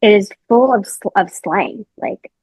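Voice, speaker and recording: A woman's voice: medium pitch, measured pace, darkish tone, husky, dry recording. A young adult woman reporter, a podcast guest, answering questions in a chatty way with many fillers and restarts. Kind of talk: casual interview answers.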